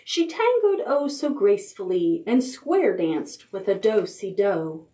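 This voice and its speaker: Unhurried woman reading a picture book aloud. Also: mid-range voice, slight background noise. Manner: expressive, rhythmic reading with drawn-out words and chanted sound words. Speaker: children's librarian leading storytime. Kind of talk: read-aloud picture book storytime for preschoolers.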